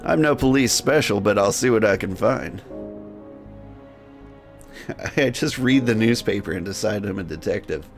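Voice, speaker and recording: A man hosting a game livestream, switching between jokey, rambling chat and reading game text aloud in a gravelly voice. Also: on the low side, normal speed, long pauses. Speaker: game streamer and host. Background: music.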